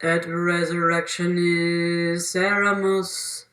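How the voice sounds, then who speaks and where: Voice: mid-range voice, flat delivery, takes it slowly, a bit bright. A speaker delivering a short recorded line in a noisy take with room ambience.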